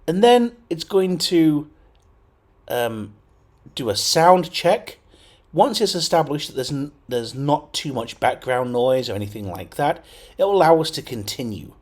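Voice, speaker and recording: A man's voice: fairly high pitch, sing-song, unhurried. A man hosting a casual computer tutorial, talking through steps with frequent fillers and checks like "okay?". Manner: chatty, lots of fillers and okays. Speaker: tech tutorial host. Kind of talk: casual screen-recorded tutorial.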